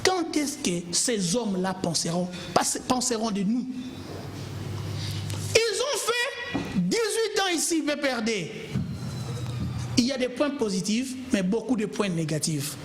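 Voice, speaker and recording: A man argues in a heated studio debate, emphatic and pleading by turns. His voice sits high and leaps all over its range. A roomy, echoing studio sound surrounds him. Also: sing-song, measured pace, pauses often, soft background music. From Kongo Central, DR Congo. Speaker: TV debate panelist.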